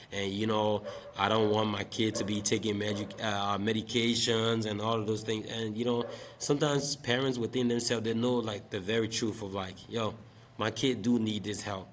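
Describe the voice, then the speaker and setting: A man in his late twenties from Liberia, speaking as an interview guest. He talks about teaching in a relaxed, reflective way, with many fillers. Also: on the low side. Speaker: guest, special education math teacher. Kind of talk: casual interview talk.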